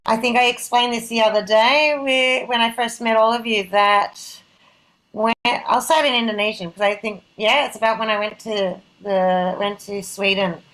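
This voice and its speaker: A woman's voice: fairly high pitch, fairly even intonation, moderate pace, a bit bright, rough recording. A middle-aged woman moderating an event on a video call, talking casually and self-deprecatingly in an informal, rambling way.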